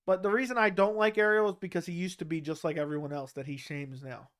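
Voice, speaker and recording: A man with a fairly high-pitched voice talks through a casual livestream while nursing a sore throat. He reads viewer questions aloud and answers them bluntly, often with a flat one-word reply. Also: quick pace, clean tone. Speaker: livestream host answering chat.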